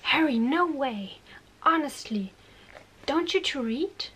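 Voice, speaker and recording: A woman playing a bookish, exasperated young witch in an ASMR roleplay, halting and bossy, often whispering. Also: sits high, lively intonation, fairly bright tone, heavily acted, rough recording. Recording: faint background noise.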